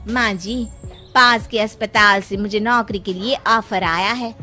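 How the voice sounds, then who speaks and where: A woman voicing a dutiful, resolute young village daughter-in-law in a Hindi animated moral story, respectful and earnest. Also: medium pitch, unhurried, soft background music.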